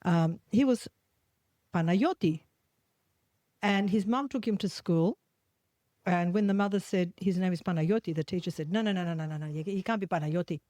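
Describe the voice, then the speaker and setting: A woman's voice: on the low side, normal speed, long pauses, no echo. Woman, Australian accent, middle-aged, chatty storyteller, dry close sound. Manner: animated storyteller, acts out quoted voices. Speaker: podcast host. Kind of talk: casual storytelling chat.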